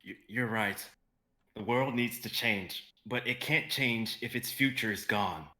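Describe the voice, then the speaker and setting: A young man playing an earnest, determined fantasy hero in a dramatic reading, speaking with halting passion. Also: on the low side, bright, forceful tone. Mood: resolute.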